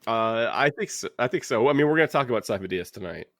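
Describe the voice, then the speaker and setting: A man co-hosts a podcast in a casual, wry way, leaning on phrases for emphasis. His pitch moves around a lot, and the recording is tight and dry. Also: animated, fast, fairly bright tone, dry recording. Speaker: podcast co-host. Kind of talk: casual chat.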